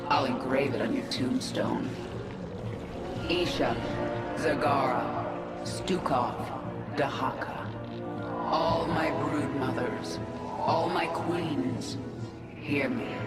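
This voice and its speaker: A woman's voice: rumbling low, echoey room. A woman playing a vengeful warrior queen of an alien swarm in a video game cutscene, dry and commanding. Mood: scornful.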